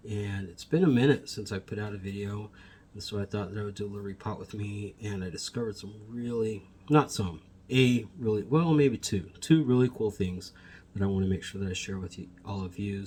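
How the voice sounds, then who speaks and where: A man with a fairly low voice talks through a home plant vlog, rambling and backtracking mid-sentence in a friendly way. He sounds a little sniffly, picked up on a phone camera mic indoors with faint background noise. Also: a little mellow. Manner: rambling, self-correcting, friendly address to viewers. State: sniffly from allergies. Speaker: plant shop co-owner and vlogger. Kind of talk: casual how-to vlog.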